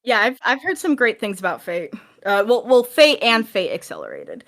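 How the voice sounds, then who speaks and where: A woman guest on a podcast, chatting casually about tabletop games and thinking aloud in a halting way. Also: fairly high pitch, wildly swooping pitch, fairly bright tone.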